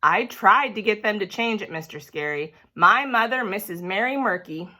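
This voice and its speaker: A woman's voice: medium pitch, lively intonation, normal speed, pushed and bright. A woman voicing a prim, self-righteous tattletale little schoolgirl in a children's story, whiny and indignant in manner.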